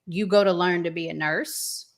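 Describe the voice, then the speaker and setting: A woman hosting a casual discussion, deliberate, pausing mid-thought and posing rhetorical questions. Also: fairly low pitch, steady tone. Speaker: talk show host.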